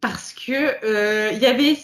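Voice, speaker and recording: A woman's voice: fairly high pitch, measured pace. A woman whose first language is not French, co-hosting a podcast in casual chat, talking freely and laughing often. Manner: chatty, laughs often, wraps up the show. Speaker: podcast co-host.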